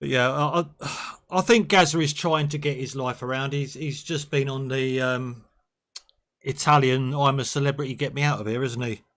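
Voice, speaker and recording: A man's voice: medium pitch, fairly even intonation, normal speed, fairly bright tone. Chatty man hosting a stream. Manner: chatty, jokey, reminiscing. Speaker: stream host. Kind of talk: casual chat over a game stream.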